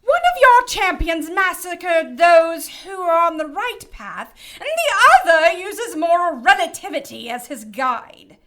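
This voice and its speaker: A haughty angelic inquisitor in an audio drama. The voice is high and piercingly bright, with sweeping pitch. She is imperious and mocking, every inch the domineering cherub. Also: very animated, unhurried, hardly any pauses, heavily acted. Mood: accusing.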